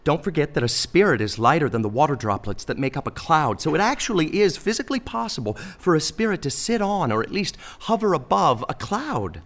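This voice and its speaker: Guileless, animated holy friar. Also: male voice, a little high, brisk, few pauses, heavily acted. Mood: earnest explaining. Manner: polite, reasoning, guileless. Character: mild, earnest, naively optimistic male friar saint. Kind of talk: comic audio play acting.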